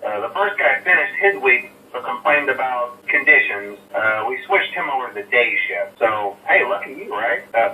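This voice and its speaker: An actor playing a casual, nervously reassuring restaurant employee leaving a hesitant, rambling message through a telephone filter in a video game. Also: male voice, medium pitch. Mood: awkward reassurance. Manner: hesitant, rambling, offhand, full of uhs. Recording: tinny, noisy phone line, distant and echoey.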